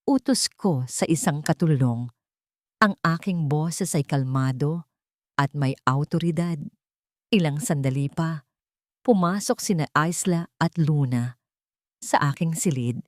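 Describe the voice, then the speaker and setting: A woman narrating a scripted dramatic story in a measured, emotive, solemn way. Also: deep voice, darkish tone, dry recording, clean studio sound.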